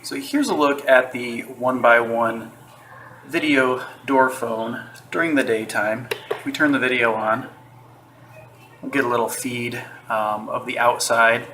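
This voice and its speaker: Bright-voiced man casually reviewing gadgets. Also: medium pitch, unhurried, slight background noise, poor audio. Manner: relaxed, loose with fillers and restarts. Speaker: tech reviewer.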